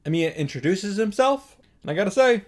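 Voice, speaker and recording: A man's voice: sits high, animated, fairly quick, heavy background noise. Wry man recapping a game. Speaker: host of visual novel reaction and summary. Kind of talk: casual summary commentary. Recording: music playing behind the voice.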